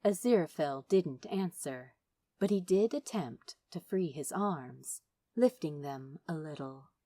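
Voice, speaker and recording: A woman narrates a story with a lively, expressive delivery and wide pitch movement. Her recording is very dry, with no room sound, and her reading is dramatic and scripted. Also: unhurried, no echo. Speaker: audiobook narrator. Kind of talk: scripted fan fiction narration.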